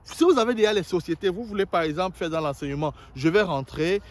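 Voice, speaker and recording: A man explains how to write a visa motivation letter, his voice sitting a little higher than most men's. He talks straight to the viewer, stumbling and restarting now and then. He acts out sample lines in the first person. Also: fast talker. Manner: explanatory, addresses viewers directly, frequent hesitations and restarts. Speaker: visa application adviser. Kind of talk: spoken tutorial on writing a motivation letter.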